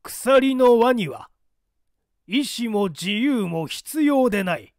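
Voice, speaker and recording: A rigid, zealous male party loyalist played by a man in a dramatic audiobook. He declaims slowly and formally, with strong emphasis. The pitch is high and swings widely. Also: expressive, a little mellow, dry recording, clean studio sound, full character voice. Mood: fervent. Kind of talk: dramatic audiobook character dialogue.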